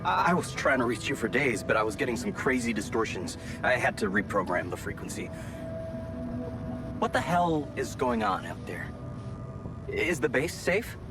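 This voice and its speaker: A man's voice: medium pitch, expressive, moderate pace, close to an everyday voice. A man acting in a video game cutscene as an anxious, earnest scientist colleague, with hesitant, stammering conversational delivery. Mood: urgent, relieved. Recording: music playing behind the voice.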